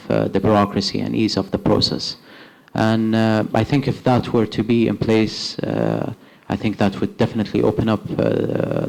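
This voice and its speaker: Halting Kuwaiti man with gravelly voice. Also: fairly low pitch, a little mellow, rough recording. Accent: Kuwaiti English. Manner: halting, many filler uhs. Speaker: startup founder on stage. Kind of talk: live panel answers. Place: event hall with audience.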